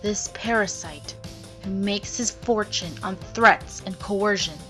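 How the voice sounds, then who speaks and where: A woman playing a determined female lawyer in a game fandub, narrating a written record in a measured, grave way. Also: mid-range voice, a bit bright. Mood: solemn, resolute. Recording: music behind the voice.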